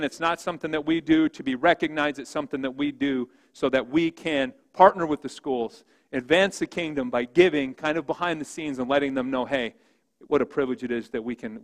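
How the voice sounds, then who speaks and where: Pastor guy w a big voice, kinda high for a man, booming then going soft, church echo. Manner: big voice, swings between loud emphasis and quiet reading. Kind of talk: sermon. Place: church sanctuary.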